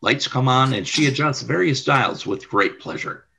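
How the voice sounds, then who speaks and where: Husky, bright-voiced male narrator. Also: medium pitch, animated, normal speed. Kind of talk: scripted radio play narration.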